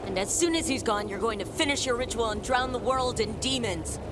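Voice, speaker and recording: An actress playing a tough, bitter heroine with a blunt, firm delivery in a video game cutscene. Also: female voice, on the high side, fairly quick, background noise, lightly acted. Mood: bitter, angry. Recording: music behind the voice. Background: cinematic music.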